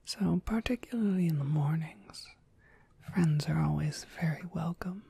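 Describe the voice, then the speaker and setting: A woman playing a friendly witch shopkeeper in an ASMR roleplay, gentle, polite and unhurried, in a breathy, husky whisper. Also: low voice, no room sound, exaggerated voice. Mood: warmly welcoming. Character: friendly witch shopkeeper, kindly and softly welcoming.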